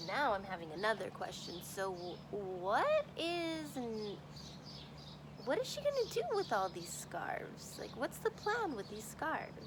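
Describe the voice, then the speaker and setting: Woman, slow-paced read-aloud teacher, expressive storytelling, questioning manner, noticeable background noise. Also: on the high side. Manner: thinks aloud, poses questions to listeners. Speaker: teacher reading a picture book aloud.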